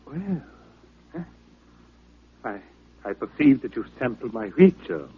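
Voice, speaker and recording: An actor playing a pompous, villainous doctor, formal, smug and coolly menacing, in an old radio drama. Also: male voice, mid-range voice, sing-song, takes it slowly, slightly rough, exaggerated voice. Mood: smug menace. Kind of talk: 1950s radio drama acting. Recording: narrow, tinny old-time radio recording.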